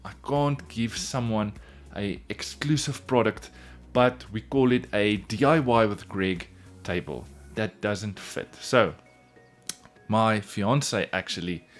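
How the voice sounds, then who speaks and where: A man whose first language is Afrikaans, speaking English with an Afrikaans accent, earnestly telling his own story straight to the camera in a casual vlog. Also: mid-range voice. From South Africa. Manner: earnest, repeats "in all honesty". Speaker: woodworking YouTuber.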